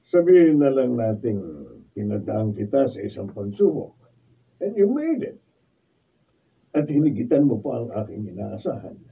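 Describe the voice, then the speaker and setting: Husky, animated rich older patriarch. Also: male voice, mid-range voice, normal speed, heavily acted. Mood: approving, jovial. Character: hearty, authoritative rich older father-in-law. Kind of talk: Filipino radio drama acting. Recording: dull sound, distant microphone.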